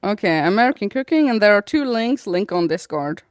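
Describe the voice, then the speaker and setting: A woman's voice: medium pitch, expressive, a little fast, clean tone, dry recording, clean studio sound. A woman co-hosting a casual podcast chat, easygoing, describing what she sees and laughing often. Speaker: podcast co-host.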